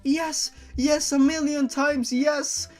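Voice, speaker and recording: A man voicing a young woman in high, giddy falsetto, gushing with delight. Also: fairly even intonation, slow, soft background music. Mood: overjoyed. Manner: squealing, breathless delight. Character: overjoyed young woman in love.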